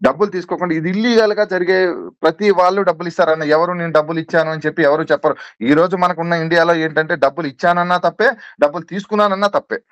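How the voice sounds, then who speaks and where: Rapid-fire, insistent man, slightly high voice. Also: steady tone, no echo. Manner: insistent, repetitive, protesting. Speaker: interviewee. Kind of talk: recorded call interview, defending himself. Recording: dull lo-fi call recording.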